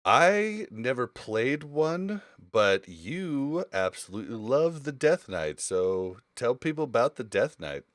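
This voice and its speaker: A man's voice: medium pitch, very animated, takes it slowly, hardly any pauses, dry recording, clean studio sound. A man hosting a casual gaming podcast, explaining things in an informal, rambling way. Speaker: podcast host.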